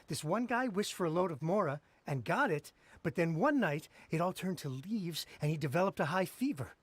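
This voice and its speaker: High, husky gossipy townsman. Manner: earnest, gossipy. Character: eager, gossipy, credulous townsman spreading supernatural rumors. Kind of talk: video game voice acting.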